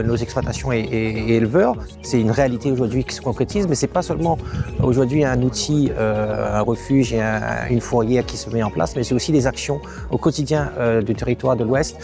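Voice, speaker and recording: A man giving a spoken statement to the press in a halting way, repeating words. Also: mid-range voice, creaky.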